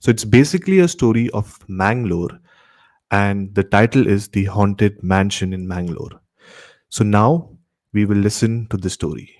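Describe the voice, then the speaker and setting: A man's voice: lowish voice, moderate pace, darkish tone. A man with an Indian accent hosting a horror radio show, telling stories in a dramatic narrating style. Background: music.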